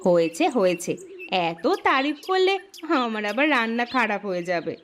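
A woman playing a caring, coy village housewife who fusses and playfully scolds in an animated folk tale. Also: mid-range voice, moderate pace, a little hiss or hum. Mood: coy scolding.

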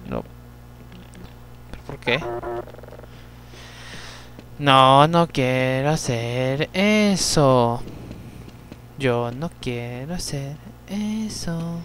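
Young male let's player with a lively, animated voice that jumps up when things go wrong; chatty, swears at the game, faint noise behind. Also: mid-range voice, extremely slow, pauses often, rough recording. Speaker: let's play gamer. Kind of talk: casual gameplay commentary.

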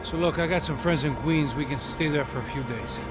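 An actor as high-voiced, streetwise buddy. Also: male voice, fairly quick, lightly acted. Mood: wry, friendly. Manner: chatty, wry, persuasive. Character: streetwise, easygoing male ex-soldier partner in private security. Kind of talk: video game cutscene dialogue. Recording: loud music behind the dialogue.

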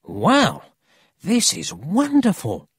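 A cheeky, brash city boy from a storybook, played by an adult reader. His voice is pitched high and swoops about with eager, teasing energy, recorded dry and close. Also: wildly swooping pitch, measured pace, no room sound. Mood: excited. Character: cheeky, excitable, friendly city boy with a horn.

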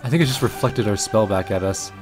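Man, lowish voice, casual let's play commentary, gaming host, game music behind. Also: fast talker. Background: game music and effects.

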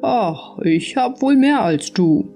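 Lady doing the good lord in a fairy tale, calm, kind, patient, measured. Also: on the high side, creaky, faint music behind, pitched above the performer's own voice, exaggerated voice. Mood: patient, amused. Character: calm, kindly, all-knowing Lord wandering on earth. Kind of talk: fairy-tale audiobook character.

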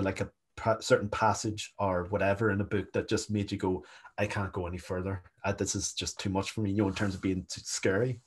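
A man's voice: fairly low pitch, breathy edge. A young Northern Irish man chatting casually on a video call, rambling and self-mocking. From Northern Ireland. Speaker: panelist. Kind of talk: casual panel chat. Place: small room indoors.

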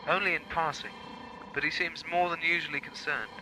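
An actor playing an efficient, deferential clone officer giving clipped reports in a science fiction audio drama. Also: male voice, fairly high pitch, fairly even intonation, fairly quick, background noise, close to an everyday voice. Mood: dutiful.

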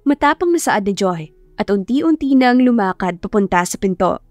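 A woman's voice: mid-range voice, expressive, normal speed, a little mellow, no echo. Woman narrating, dry recording over music. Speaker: audiobook narrator. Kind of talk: scripted story narration. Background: background music.

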